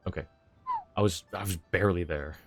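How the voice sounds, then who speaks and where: A woman's voice: rumbling low, fairly quick. A woman giving casual, wry game livestream commentary, musing aloud. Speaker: streamer. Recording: game music behind the voice.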